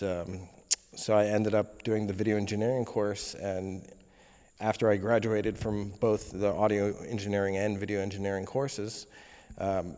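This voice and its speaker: A young man from Indonesia, a film editor being interviewed, talking casually with many pauses and fillers. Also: lowish voice, dark tone. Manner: hesitant, many fillers and restarts. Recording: long reverb on voice.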